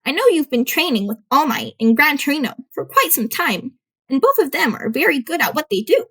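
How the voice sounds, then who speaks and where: A woman's voice: high voice, big pitch swings, brisk, a bit bright, voice pushed up. A woman voicing an elderly school nurse, kindly but stern and scolding, in an amateur audiobook. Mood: concerned, curious. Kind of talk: fan fiction audiobook character voice.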